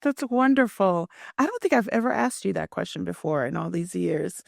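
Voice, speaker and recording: Lively woman hosting an interview. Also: on the low side, moderate pace, creaky, no echo, clean studio sound. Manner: friendly, laughs often. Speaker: interview host. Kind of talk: interview conversation.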